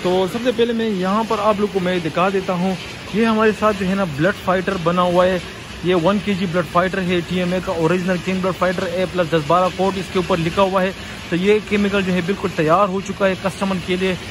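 A man's voice: sits high, level tone, brisk, noisy background. A man with a Pakistani Urdu accent, filming on a phone as he walks through a workshop and explains each step in a chatty vlog. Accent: Urdu-speaking Pakistani. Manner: chatty, repeats "you can see" while pointing. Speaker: vlogger showing a factory. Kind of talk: casual walkthrough vlog. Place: indoor workshop with machines. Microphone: handheld phone camera.

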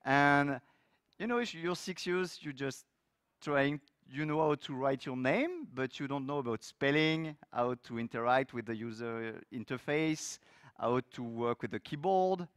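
A middle-aged man from France with a French accent, giving a technical talk on a conference stage with loose, lively phrasing and many fillers. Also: mid-range voice, unhurried, no room sound, clean studio sound. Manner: loose, lively delivery with frequent fillers and restarts. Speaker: conference speaker. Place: large conference hall stage.

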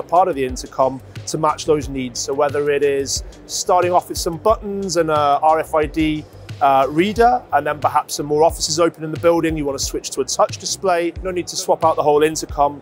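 A man in his forties explaining a product in a measured way, as a company representative interviewed on a lapel mic. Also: a little high. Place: busy trade show exhibition hall.

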